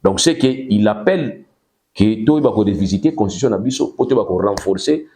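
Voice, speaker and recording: A man's voice: on the low side, lively intonation, fairly quick, warm tone. Congolese man explaining at length. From Congo-Kinshasa. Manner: explains at length, mixing French and Lingala. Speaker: political guest. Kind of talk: political talk show discussion.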